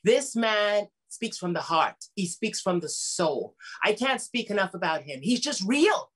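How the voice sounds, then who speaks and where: Woman, show host, effusive, gushing, mid-pitched voice. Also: lively intonation, measured pace, breathy edge. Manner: effusive, gushing, calls the guest sweetheart and honey. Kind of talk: enthusiastic interview host.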